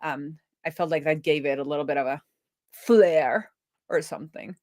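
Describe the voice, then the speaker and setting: A woman's voice: deep voice, normal speed, a little mellow, no room sound, studio quality. A woman hosting a casual coding livestream, thinking aloud informally as she works. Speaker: livestream host, web developer. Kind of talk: casual live coding commentary.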